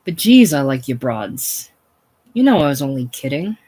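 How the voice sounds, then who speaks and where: A reader playing a gruff old saloon keeper. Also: medium pitch, very animated, moderate pace. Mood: sheepish. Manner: loud, blustering, snapping at people. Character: gruff irascible older male saloon keeper.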